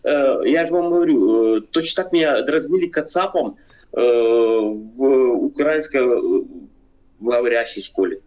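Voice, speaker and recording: A man's voice: mid-range voice, unhurried, a little mellow. Man from Ukraine, forties, hesitant with many fillers and restarts, muffled lo-fi webcam sound, video call from home. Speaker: video chat guest. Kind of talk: casual debate over video chat. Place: home room, seated in armchair. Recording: dull lo-fi video call audio.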